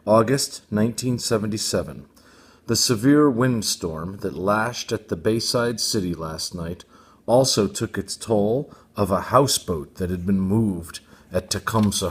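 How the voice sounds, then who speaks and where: A man with a fairly low voice reads a novel for young readers. His phrasing is deliberate and emphatic, in an expressive audiobook style. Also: husky. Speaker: storybook reader.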